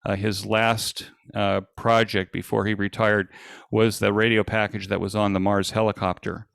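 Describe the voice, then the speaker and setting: Low-pitched, gravelly man presenting. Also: little pitch movement, moderate pace, fairly bright tone, no room sound, studio quality. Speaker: event organizer presenting. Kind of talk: informal slide presentation.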